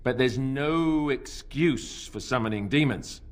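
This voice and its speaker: An actor playing a sardonic young warrior companion in a video game, speaking in a dry, mocking way. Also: male voice, medium pitch, takes it slowly, lightly acted.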